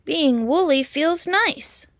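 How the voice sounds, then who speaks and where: A woman's voice: high voice, expressive, takes it slowly, no room sound, clean studio sound, pitched above the performer's own voice, heavily acted. A woman voicing a cheerful, carefree young male sheep in a bubbly, delighted manner for a storybook.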